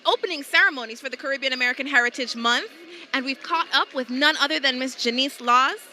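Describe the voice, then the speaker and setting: Bubbly tv reporter lady, super bright high voice, faint crowd hum behind. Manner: bubbly presenter patter. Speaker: TV event reporter. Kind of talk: live event reporting. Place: busy indoor hall at a public event. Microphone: handheld mic. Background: faint crowd ambience.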